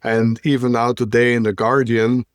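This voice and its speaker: A man's voice: medium pitch, level tone, moderate pace, no room sound, studio quality. A man hosting a podcast in casual chat, rambling and stumbling over his words while searching for them. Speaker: podcast host.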